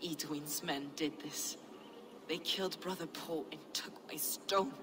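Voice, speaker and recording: Low-voiced, enigmatic heretic woman. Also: fairly even intonation. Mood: grave. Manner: measured, cryptic, archaic phrasing. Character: enigmatic, devout heretic woman, collector of sacred relics. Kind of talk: dramatic video game acting. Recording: clear ambient noise behind.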